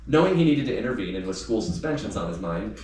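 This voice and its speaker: A man's voice: fairly low pitch, very animated, fast, darkish tone. Echoey, distant man reading a novel aloud. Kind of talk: expressive audiobook-style read-aloud of youth fiction. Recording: echoey room, distant mic, faint noise.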